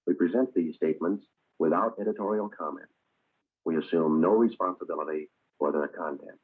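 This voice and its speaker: A muffled vintage broadcast of a male announcer with a husky voice. He delivers every phrase formally and with measured, declamatory weight in 1940s style. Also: sits low. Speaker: radio announcer. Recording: muffled old 1940s radio recording.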